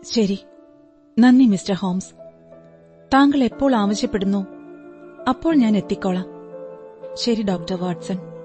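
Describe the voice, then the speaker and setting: A woman's voice: medium pitch, a little slow, pauses often, over music, close to an everyday voice. A woman playing a polite, composed young client of a detective in a radio drama, speaking formally and precisely.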